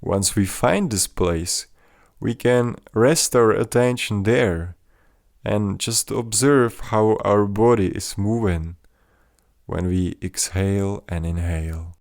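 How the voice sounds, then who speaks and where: A man leading a guided mindfulness meditation in a gentle, measured, instructional manner. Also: on the low side, gravelly, no room sound, clean studio sound.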